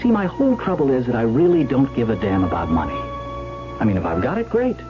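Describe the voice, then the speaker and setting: A man's voice: mid-range voice, huge pitch swings, fast. Wry easygoing man, dull radio sound. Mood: rueful. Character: easygoing, wisecracking wealthy man haunted by a lucky charm. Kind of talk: 1970s radio drama acting, confiding narration and banter. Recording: dull old radio broadcast with music.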